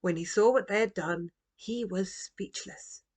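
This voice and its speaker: A woman reads a children's story aloud as its author. Her narration is gentle and expressive, at a medium pitch for storytelling. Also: unhurried. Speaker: author reading own book.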